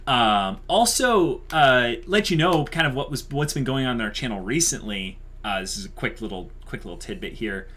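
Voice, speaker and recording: A man's voice: medium pitch, lively intonation, moderate pace, few pauses, a bit bright. Casual man hosting an online channel. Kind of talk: casual chat to viewers.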